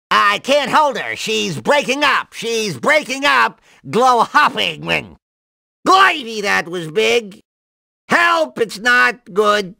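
A man voicing a nerdy, excitable, absent-minded cartoon mad scientist in a video game, nasal and full of rambling jargon and odd exclamations. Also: sits high, vocal fry, no room sound, clean studio sound, heavily acted. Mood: alarmed, frantic.

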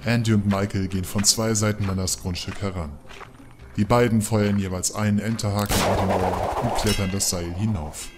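A man's voice: fairly low pitch, fairly even intonation, normal speed, very noisy. A man giving scripted narration for an audio drama.

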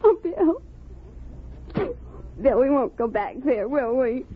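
An actress playing a frightened, pleading young wife on the run, anxious and melodramatic, in 1950s radio drama style. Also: female voice, high voice, expressive, takes it slowly, breathy edge, exaggerated voice. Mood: terrified. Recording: dull vintage radio recording.

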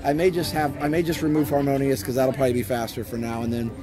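A man's voice: medium pitch, little pitch movement, fast talker. Joking, explanatory man on a live stream. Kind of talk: casual live stream chat. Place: outdoors at a theme park at night. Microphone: phone camera. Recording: music playing in the background.

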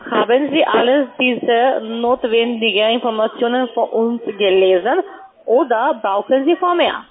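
A woman's voice: fairly high pitch, measured pace. A woman working as a call centre agent, questioning a customer in broken, non-native phrasing over the phone. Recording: tinny narrow phone line.

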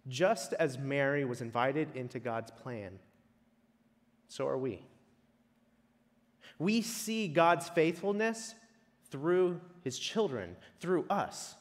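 A man preaching a sermon in a measured way, leaning on emphatic repetition. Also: mid-range voice, expressive. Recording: long reverberant hall echo.

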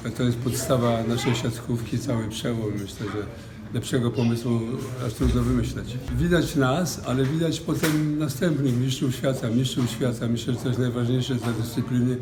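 Even-toned man in an echoey, busy room. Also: medium pitch. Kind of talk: informal interview. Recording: echoey room with crowd chatter.

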